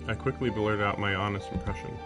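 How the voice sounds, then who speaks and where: A man with a low voice reads visual novel narration aloud on a game stream. Game music plays clearly behind him. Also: fairly even intonation, quick pace.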